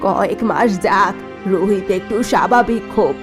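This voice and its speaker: A woman's voice: mid-range voice, moderate pace, music in the background, natural voice. A woman voicing a spiteful, scheming middle-aged mother-in-law in a cartoon drama, needling and self-justifying. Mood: calculating. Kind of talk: dubbed cartoon dialogue.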